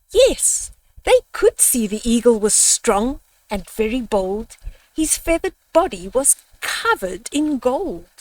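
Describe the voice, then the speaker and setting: An older woman hosting a children's storytime, reading a picture book aloud in a measured, dramatic way. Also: on the high side, no echo, far from the mic, poor audio.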